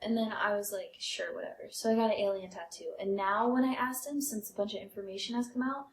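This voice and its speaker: A woman's voice: mid-range voice, fast. A young American woman chatting casually and telling personal stories as a comedy podcast co-host. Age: young adult. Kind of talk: casual chat, personal storytelling. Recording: roomy, echoey podcast sound.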